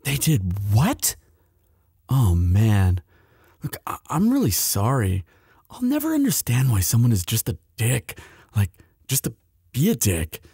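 A man's voice: medium pitch, wildly swooping pitch, measured pace, warm tone, husky, no echo, clean studio sound, full character voice. A man playing a sweet, protective young demon university student in an intimate audio roleplay addressed to the listener. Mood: sympathetic. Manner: hesitant stammering when shy, firm when angry.

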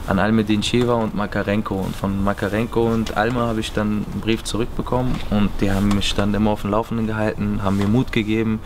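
A man's voice: fairly low pitch, level tone, fast, few pauses. A young man from Berlin answering interview questions earnestly, seated in an empty stadium. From Berlin, Germany. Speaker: footballer. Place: empty stadium stands, outdoors. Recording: noisy outdoor stadium recording.

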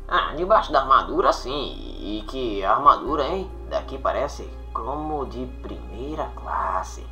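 A covetous desert scavenger in a comic dub, acted by a man. He talks colloquially in a bright, lively voice at medium pitch, over faint music. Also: a little slow, exaggerated voice. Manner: colloquial, appraising. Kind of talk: motion comic fan dub acting.

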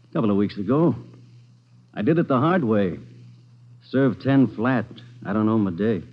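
An actor playing a sour, sly ex-convict in a 1940s radio crime drama, talking in a pointed, falsely apologetic way. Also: male voice, on the low side, animated, moderate pace. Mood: falsely humble. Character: bitter, sly male ex-convict visiting detectives. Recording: muffled vintage radio recording.

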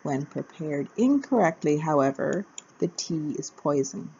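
Low-voiced woman, halting fillers. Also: expressive, creaky, warm tone, breathy edge, lo-fi sound. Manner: hesitant, many uh fillers, plain. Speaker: capoeira teacher. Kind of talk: casual educational narration.

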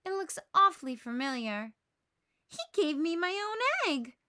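A woman's voice: extremely high pitch, sing-song, unhurried, bright, forceful tone, no room sound, studio quality, voice pushed up, full character voice. A woman voicing a bossy, crabby little girl in a storybook, self-important and scoffing. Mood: scornful.

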